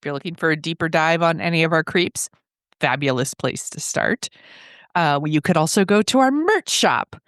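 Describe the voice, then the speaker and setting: A woman with a head cold, sniffly, co-hosting a podcast with wry, deadpan quips. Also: low-pitched, normal speed, no echo, studio quality. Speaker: podcast co-host. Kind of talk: casual podcast chat.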